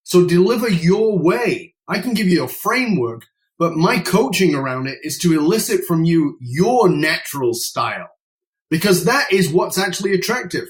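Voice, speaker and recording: A middle-aged British man talks as a podcast guest and speaking coach. His voice sits a little high for a man, and his delivery is emphatic and outspoken. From United Kingdom. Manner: emphatic, outspoken, swears casually. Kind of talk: conversational interview.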